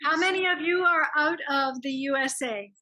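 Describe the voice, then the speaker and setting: A woman's voice: high voice, normal speed, bright, forceful tone. A woman chatting casually and asking questions as a participant in an online video meeting. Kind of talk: casual group chat. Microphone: video call.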